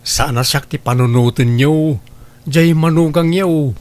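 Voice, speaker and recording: Man acting young ilocano husband, kinda high voice, earnest n questioning, comedy drama. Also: a little slow, darkish tone, close to an everyday voice. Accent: Filipino, Ilocano.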